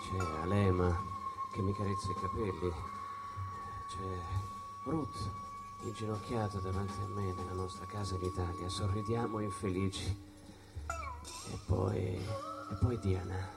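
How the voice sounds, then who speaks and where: Regretful jazz musician, low voice, halting and repetitive, impassioned stage monologue, loud jazz underneath. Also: male voice, huge pitch swings, loud music behind the voice, full character voice. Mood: melancholy, intimate. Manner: halting, repeats phrases, impassioned. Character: weary, regretful jazz musician recalling his lost women. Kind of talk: theatrical dramatic monologue over jazz.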